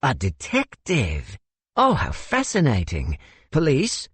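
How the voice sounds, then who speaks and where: Older South African gentleman, lilting high voice, theatrical, hesitant, old-fashioned courtesy, audiobook character. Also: wildly swooping pitch, slow pace, husky, dry recording, full character voice. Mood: theatrical curiosity.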